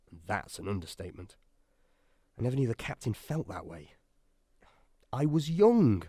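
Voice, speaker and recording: A man plays an anxious submarine doctor for radio drama, brooding and self-doubting. His lowish voice swings widely between dread and dry sarcasm. The recording is close and dry. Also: dark tone, no echo. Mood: anguished. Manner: nervy, sardonic, brooding. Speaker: actor in an audio drama. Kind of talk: radio drama acting with diary-style monologue.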